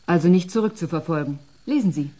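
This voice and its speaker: Curt, mellow-voiced female official. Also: fairly low pitch, animated, quick pace, low-quality recording. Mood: curt, formal. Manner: curt, formal, businesslike. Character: stern, dry female ministry official with academic title. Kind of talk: radio drama acting.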